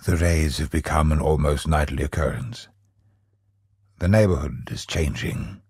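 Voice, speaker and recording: An actor playing a brooding, tormented scholar of godlike power in a video game, speaking gravely and theatrically in measured phrases. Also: male voice, a bass voice, darkish tone, no echo, studio quality, heavily acted. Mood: worried, somber. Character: brooding male scholar turned godlike, tormented cosmic villain. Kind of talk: dramatic scripted video game monologue.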